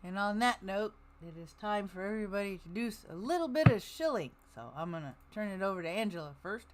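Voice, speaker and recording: A woman's voice: medium pitch, animated, fast, slight background noise. A woman hosting a live stream in a casual, conversational way. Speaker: stream host.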